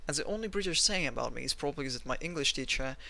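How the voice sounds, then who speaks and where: A man's voice: medium pitch, animated, fast talker, gravelly, bright, forceful tone. A man with a Russian accent, talking through a chart analysis in a rambling, blunt, self-mocking way. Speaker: trading analyst. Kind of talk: screen-recorded chart analysis.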